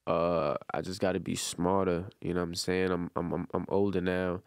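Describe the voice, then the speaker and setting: A man's voice: deep voice, level tone, moderate pace, dry recording, clean studio sound. A man, a rapper talking as a guest in a casual interview, rambling loosely with lots of slang and "you know what I'm saying.". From Brooklyn, New York. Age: 20s.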